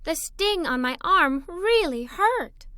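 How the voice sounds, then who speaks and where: A woman narrating a children's story in an expressive, dramatic read-aloud style. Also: very high-pitched, takes it slowly, no echo, studio quality.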